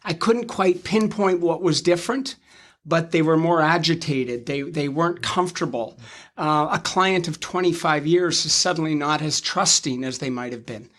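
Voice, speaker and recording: A man in his fifties with a fairly high voice for a man talks money matters in an easy conversational way. He is measured and thoughtful, often stopping to rephrase before going on. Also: fairly bright tone. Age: 50s. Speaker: financial advisor co-host. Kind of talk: conversational interview.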